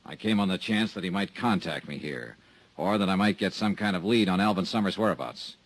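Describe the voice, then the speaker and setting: An actor playing a tough, wisecracking insurance investigator, with dry, sardonic narration and dialogue in a 1950s radio drama. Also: male voice, low voice, brisk. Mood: wry, guarded.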